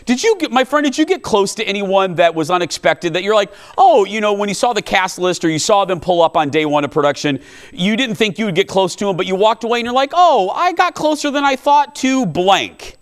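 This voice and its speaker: A man in his fifties hosts a talk show, his voice swinging widely and sitting slightly high. He asks questions eagerly, often restarting mid-sentence, and teases his guest playfully. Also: lively intonation, fairly quick, smooth. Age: 50s. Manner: enthusiastic, stumbling restarts, playful teasing. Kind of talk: casual chat.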